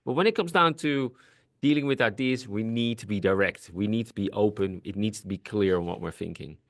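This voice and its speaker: A Dutch-accented man gives a webinar talk in a fairly low voice. His speech comes across as direct and earnest, with many restarts. The recording is dry and close, with no room sound. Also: a little fast, no room sound. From Netherlands. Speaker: co-presenter. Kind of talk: webinar presentation.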